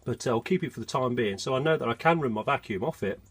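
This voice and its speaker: A man's voice: mid-range voice, animated, fast talker, slight background noise. Congested man in a chatty boat vlog. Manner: chatty, explains step by step. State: congested with a cold. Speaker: vlogger. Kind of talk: casual DIY vlog. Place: on a narrowboat.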